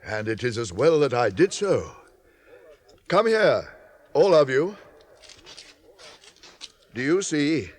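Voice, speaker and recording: An actor playing an irascible old wizard in a classic radio drama, speaking with grave, theatrical authority. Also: male voice, mid-range voice, very animated, takes it slowly, pauses often. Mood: cautious, authoritative. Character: commanding, irascible old male wizard leading a weary company. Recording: 1980s radio drama, faint background noise.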